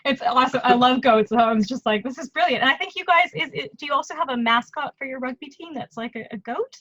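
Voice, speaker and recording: A woman hosting a casual interview, chatting in an enthusiastic, lively way. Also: high-pitched, fast talker. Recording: dull, dry lo-fi audio.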